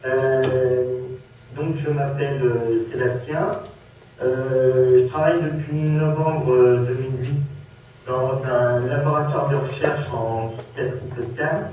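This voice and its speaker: A man with a Parisian French accent introduces himself to a group in a hesitant, halting and apologetic way. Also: mid-range voice, steady tone, darkish tone. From Paris, France. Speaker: workshop speaker, peer research assistant. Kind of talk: personal testimony to a group. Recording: echoey, distant conference-call sound with noise.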